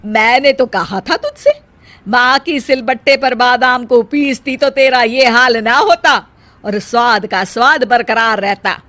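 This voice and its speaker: A woman's voice: a little high, unhurried, a bit bright, dry recording. A woman voicing a proud, stubborn middle-aged Indian mother-in-law in a moral story, with a taunting, emphatic way of talking. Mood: smug, taunting. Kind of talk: acted dialogue in a Hindi moral story.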